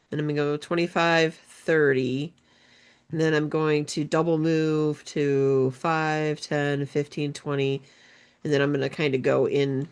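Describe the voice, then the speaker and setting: A woman with a notably low voice plays a tabletop game, mapping out her moves in a casual, playful way. She laughs easily and keeps a teasing tone. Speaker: player.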